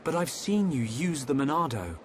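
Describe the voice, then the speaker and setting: Young game hero, earnest n thoughtful, kinda questioning, pitch moves a lot. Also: male voice, big pitch swings, normal speed, slight background noise. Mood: earnest, puzzled. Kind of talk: scripted video game cutscene acting.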